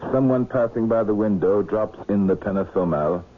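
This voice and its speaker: A man's voice: fairly low pitch, moderate pace, natural voice. An actor playing an easygoing, skeptical lawman who speaks in a matter-of-fact, conversational way in a radio mystery drama. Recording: muffled, noisy old radio drama recording.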